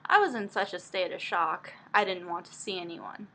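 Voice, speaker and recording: A middle-aged father, voiced by a woman, keeping composed while on the defensive. His delivery is bright, measured and placating, a storybook parent under pressure. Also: lowish voice, slight background noise.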